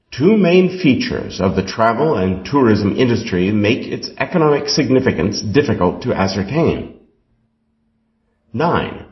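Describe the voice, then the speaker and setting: In a dull, echoey room, a man reads exam material from a script. His delivery is slow and deliberate, and his intonation rises and falls noticeably as he sets out each instruction. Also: lowish voice. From Canada. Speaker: exam passage reader. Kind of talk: scripted reading of test instructions and questions.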